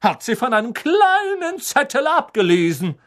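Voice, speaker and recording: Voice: high voice, very animated, normal speed, a bit bright, pitched above the performer's own voice. A reader voicing a proud, boastful knight from a painting in a storybook, gallant and swaggering.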